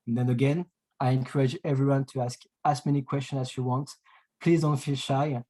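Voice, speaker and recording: A man from France with a French accent, hosting an online webinar in a halting way, full of fillers and restarts, heard over a video call. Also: medium pitch, fairly even intonation, mellow. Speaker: webinar host.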